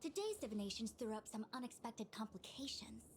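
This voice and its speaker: A self-assured young diviner official from a video game. Her voice is fairly high, with formal, precise, imperious delivery. There is faint noise in the game stream capture. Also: sing-song, fairly quick. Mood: brisk, self-assured.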